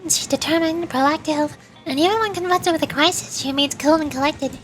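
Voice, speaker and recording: Woman putting on a high-pitched perky cat girl. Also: fairly quick. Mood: reflective. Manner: chatty, cajoling, cocky. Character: perky, scheming young cat girl mercenary. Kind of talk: video game dialogue read aloud. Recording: loud music behind the voice. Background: game music.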